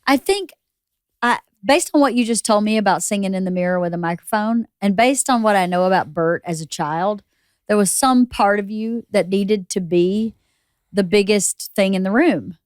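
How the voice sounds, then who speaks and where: Female podcast host with a loud, opinionated delivery and a dry, close sound. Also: mid-range voice, normal speed, no echo. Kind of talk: casual interview chat.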